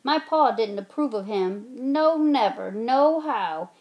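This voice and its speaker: A woman's voice: on the high side, expressive, slow pace, highs cut off. A woman reading aloud voices a mischievous old aunt who crows her story in a folksy drawl. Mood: crowing.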